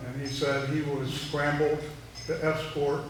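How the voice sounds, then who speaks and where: A man's voice: fairly high pitch, flat delivery, unhurried. A man telling a conversational anecdote from the audience at a talk. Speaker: audience member, Air Force veteran. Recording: very echoey room, distant, faint noise.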